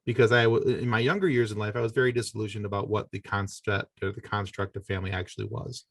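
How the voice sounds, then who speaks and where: A middle-aged man with a fairly low voice speaks as a podcast guest in a casual, informal way. The sound is dry and close, with little room around it. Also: fairly even intonation, fast, a bit bright, no room sound. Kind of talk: casual conversational interview.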